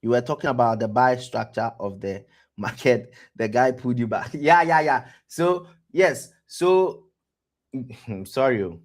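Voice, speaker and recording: A man with a West African accent hosting a live-streamed trading lesson, pausing to ask "what?" and answering himself, often repeating words as he goes. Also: medium pitch. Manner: quizzes listeners with rhetorical "what?" prompts; repeats words and restarts phrases. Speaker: trading educator, stream host.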